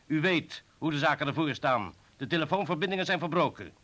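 An actor playing an earnest, principled comrade and former political prisoner in a radio play, speaking persuasively. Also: male voice, a little high, animated, brisk, fairly bright tone. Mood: earnest, urgent. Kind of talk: Dutch radio play acting.

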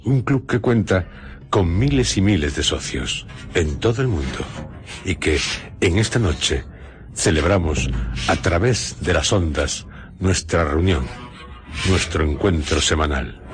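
Male radio host, Castilian Spanish. Bottomless low register, unhurried solemn delivery of dramatic scripted introductions. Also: animated. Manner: solemn, theatrical, deliberate phrasing with pauses. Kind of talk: dramatic scripted radio narration introducing horror and adventure stories. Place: radio studio theatre. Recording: music bed under studio radio voice. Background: music.

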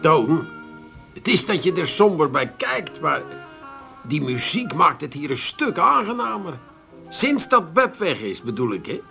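An actor playing a tactless, needling café regular in a Dutch comedy radio play, wry and colloquial. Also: male voice, fairly high pitch, big pitch swings, measured pace, creaky, pauses often, pushed and bright, full character voice. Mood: tactless, hedging. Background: music.

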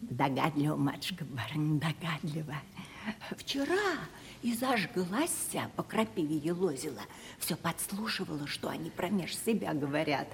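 An actress playing a sly, gossipy, fawning older servant widow in a Russian radio comedy, speaking in an obsequious, insinuating, folksy way. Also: female voice, low-pitched, lively intonation, normal speed, vocal fry, slight background noise. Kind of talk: 1970s Russian radio theatre comedy acting. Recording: reverberant studio radio play recording.

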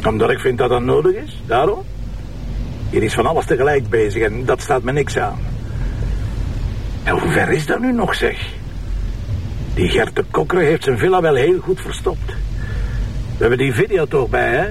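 An actor playing a gruff, impatient police commissioner in a TV crime drama, blunt and sarcastic, with a Flemish accent. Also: male voice, medium pitch, sing-song, moderate pace, long pauses, distant mic, slight background noise. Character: gruff, irritable male police commissioner with a sharp tongue. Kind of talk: naturalistic TV crime drama acting.

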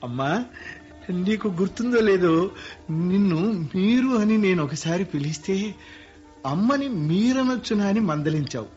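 An actor playing a gentle grown son, speaking softly and affectionately in a radio play. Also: male voice, sits high, animated, unhurried, long pauses, faint music behind. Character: grown son returned home, gentle and warm. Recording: dull lo-fi radio recording.

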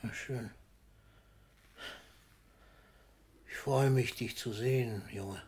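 A man's voice: medium pitch, a little slow, darkish tone, slight background noise, close to an everyday voice. Ailing old poet, wry and fatherly man acting. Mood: surprised, fond. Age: older. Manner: philosophical, wryly theatrical, with whispered asides. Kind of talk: German radio play acting.

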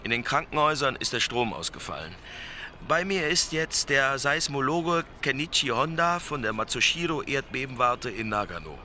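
A man's voice: medium pitch, sing-song, moderate pace, pushed and bright, a little hiss or hum. An actor playing a male news correspondent giving a sober, formal, factual live report in a radio play. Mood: sober, grave. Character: male German TV correspondent reporting live from disaster-struck Tokyo.

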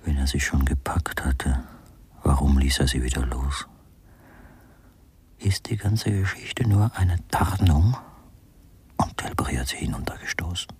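A man's voice: rumbling low, measured pace, pauses often, a little mellow, full character voice. An actor playing a suspicious, coercive man in a German radio crime drama, outwardly polite and controlled, with a falsely soothing, commanding manner. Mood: suspicious, hushed. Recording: clearly noisy radio drama recording.

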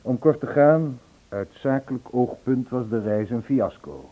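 An actor playing an earnest, self-absorbed, worldly middle-aged composer in a radio drama, on a muffled, tinny old recording. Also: male voice, medium pitch, measured pace, lightly acted. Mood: earnest, indignant.

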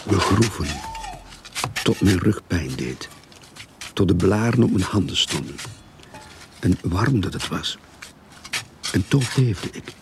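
An actor playing a haunted grave robber, narrating his grim tale in a husky, gravelly voice in a radio play. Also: deep voice, expressive, slow, long pauses, slight background noise, heavily acted. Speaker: first-person narrator. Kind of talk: dramatic first-person radio play narration.

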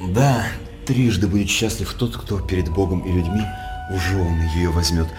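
An actor playing a sly, flattering, mock-pious court jester, declaiming wryly in a radio verse drama. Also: male voice, low-pitched, normal speed, music in the background, lightly acted. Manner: wry, declamatory.

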